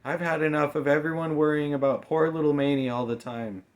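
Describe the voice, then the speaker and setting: A man voicing a furious, menacing young female thief in an audiobook, snapping out forceful threats. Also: on the high side, fairly even intonation, a little fast, pitched above the performer's own voice, natural voice. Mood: venomous anger. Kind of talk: audiobook character dialogue.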